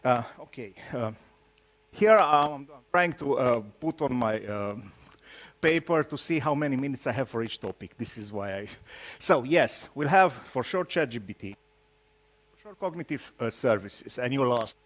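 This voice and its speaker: A man from Romania with a Romanian accent gives an informal tech talk, speaking haltingly and teasing his audience with jokes. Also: medium pitch, expressive. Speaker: conference speaker, solution architect.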